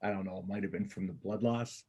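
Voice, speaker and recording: A man performing a reader's theater part as a gruff, cynical bank robber and combat veteran, blunt and colloquial in his talk. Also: low-pitched, fairly even intonation, quick pace, mellow. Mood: wry, guarded. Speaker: amateur church play performer.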